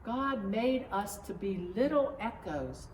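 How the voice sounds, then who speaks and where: A woman pastor reading a children's story aloud in an expressive, measured storytelling manner. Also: fairly high pitch. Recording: roomy echo, distant mic, faint noise.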